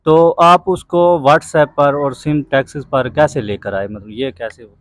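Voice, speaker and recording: Guy hosting an interview, middle pitch, dramatic formal presenter style, no room echo. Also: expressive, a little slow. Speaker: interviewer and host. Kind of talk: video interview with spoken introduction.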